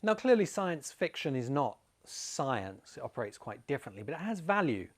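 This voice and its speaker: A man's voice: mid-range voice, very animated, normal speed. A man giving an informal, opinionated explanatory talk, wandering through ideas and addressing the listener directly. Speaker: video essay host. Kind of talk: informal explanatory monologue to camera. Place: home among rice fields in Bali.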